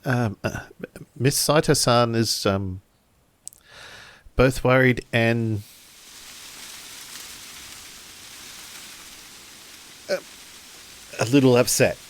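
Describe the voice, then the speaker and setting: A man voicing an earnest, polite samurai-era companion in a tabletop game, halting and stammering as he speaks. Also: mid-range voice, a little hiss or hum, voice pushed up, natural voice. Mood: worried, hesitant.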